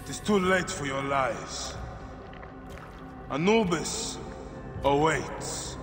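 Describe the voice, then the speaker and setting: A man's voice: on the high side, slow. A man playing a vengeful, grieving warrior father from ancient Egypt in a video game, solemn and intense. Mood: furious. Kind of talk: dramatic video game acting. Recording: reverberant hall, loud music behind.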